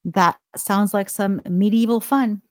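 Woman news co-host, mid-pitched, crisp even reads, dry studio sound. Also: unhurried, no room sound. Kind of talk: scripted news reading and casual banter.

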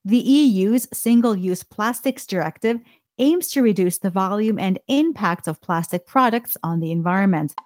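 A woman co-hosting a weekly news show, reading headlines in a clear, even delivery and chatting with her co-host. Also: mid-range voice, normal speed. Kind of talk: scripted news reading and casual banter.

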